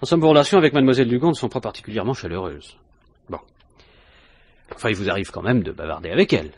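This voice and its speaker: An actor playing a suspicious police inspector in a 1950s French radio mystery, questioning insistently with dry understatement. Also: male voice, lowish voice, lively intonation, very fast, a little mellow, breathy edge, no room sound. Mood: probing.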